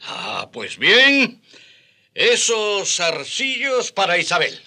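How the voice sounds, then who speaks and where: A man's voice: sits high, huge pitch swings, slow, pushed and bright, exaggerated voice. An actor playing a boastful, middle-aged Spanish father back from the colonies in a radio drama. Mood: proud, generous.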